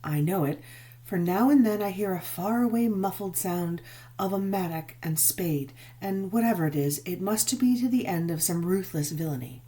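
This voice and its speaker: A woman reading a gothic novel as dramatic narration, measured and expressive, with drawn-out emphasis. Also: lowish voice. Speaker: audiobook narrator.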